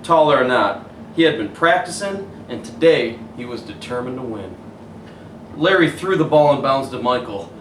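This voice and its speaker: A man reading a children's picture book aloud as a coach would, pausing to point out the pictures. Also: mid-range voice, lively intonation. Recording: roomy, distant mic, faint hiss.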